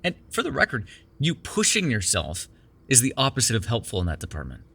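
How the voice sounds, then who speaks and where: A man's voice: medium pitch, huge pitch swings, fairly quick, creaky, fairly bright tone, husky, exaggerated voice. A man playing a sardonic but caring captive vampire in an immersive ASMR roleplay, talking in a wry, teasing, profane conversational way. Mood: wry, coaxing. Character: sardonic, caring immortal male vampire held captive in cuffs.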